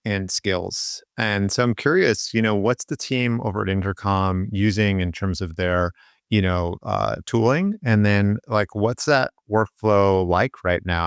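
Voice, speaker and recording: Low-voiced man with a creaky edge. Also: no room sound, clean studio sound. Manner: agreeable, leads with open questions. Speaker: podcast host, interviewer. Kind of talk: casual interview chat.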